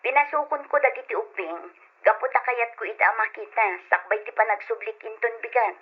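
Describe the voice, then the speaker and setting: Brisk mother in a muffled radio drama. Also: fairly high pitch, breathy edge. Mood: brisk, matter-of-fact. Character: busy, brisk wife and mother working away from home. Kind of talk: Ilocano radio drama acting.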